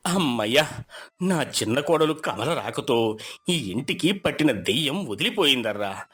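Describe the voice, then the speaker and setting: A woman's voice: extremely low pitch, lively intonation, measured pace, breathy edge, voice pushed down, heavily acted. A woman voicing a timid, henpecked man of the house who speaks anxiously in a moral story. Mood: relieved. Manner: pleading, anxious.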